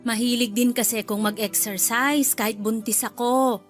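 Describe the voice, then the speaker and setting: A woman's voice: on the high side, steady tone, normal speed, faint music behind, natural voice. A woman playing a chatty, bluntly nosy middle-aged mother-in-law in a Filipino radio drama, with a Filipino accent. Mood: cheerfully pushy. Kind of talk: Tagalog radio drama acting.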